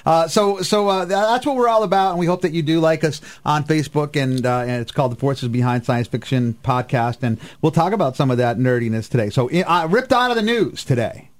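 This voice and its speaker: A man's voice: fairly high pitch, expressive, a little fast, hardly any pauses. A man hosting a casual radio panel talk, rambling and often interrupting himself mid-thought. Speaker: radio show host.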